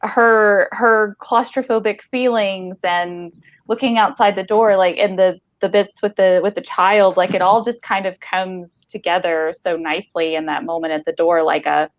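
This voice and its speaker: A woman's voice: medium pitch, fairly even intonation, moderate pace, hardly any pauses, dry recording. Woman chatting about books, slightly dull audio. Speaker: podcast co-host discussing a short story. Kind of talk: casual book discussion.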